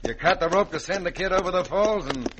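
A dim outlaw henchman in a vintage radio western. His voice is high and puzzled, under clear background noise. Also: lively intonation, quick pace. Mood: puzzled, grumbling. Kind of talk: old-time radio western acting. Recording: noisy vintage radio broadcast recording.

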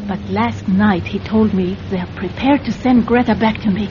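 A woman's voice: medium pitch, moderate pace, noisy background, close to an everyday voice. An actress playing an anxious, tender, secretive woman double agent in a radio drama. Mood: anxious, pleading. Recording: dull radio recording, loud storm ambience.

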